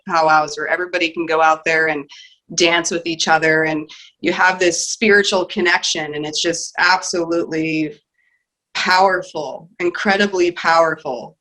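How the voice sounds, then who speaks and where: A woman's voice: low-pitched, sharp tone, poor audio. A woman interviewed as a guest, speaking earnestly and emphatically about her heritage and sense of duty. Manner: heartfelt and emphatic, with repeated "you know". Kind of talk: earnest conversational interview answer.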